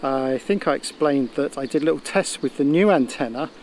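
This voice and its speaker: A man's voice: medium pitch, fairly quick, a little mellow, a little hiss or hum. A man talking casually to camera as a sailing vlogger, explaining things and thinking aloud in a slightly hesitant way. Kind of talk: casual explanatory vlog talk.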